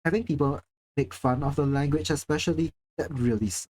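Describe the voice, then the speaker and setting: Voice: mid-range voice, fairly quick, darkish tone. Chatty streamer from Singapore. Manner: chatty, self-deprecating, swears casually. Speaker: livestreamer. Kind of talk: casual live-stream chat, reading chat.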